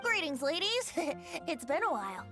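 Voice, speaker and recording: A whimsical young bard with a high, boyish lilt. The delivery is airy, playful and very animated, theatrical video game acting over faint music. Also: female voice, unhurried, full character voice. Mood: cheerful.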